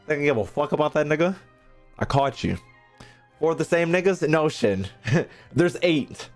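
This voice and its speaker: A man's voice: fairly high pitch, lively intonation, normal speed. A man streaming a game with casual, slangy reaction commentary, swearing freely and heckling in mock outrage. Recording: faint game music behind the voice.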